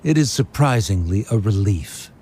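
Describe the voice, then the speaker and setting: An actor playing a haughty, aloof, dryly condescending scholar in a video game. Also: male voice, on the low side, lively intonation, moderate pace, a little mellow, a little hiss or hum, lightly acted. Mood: condescending, relieved.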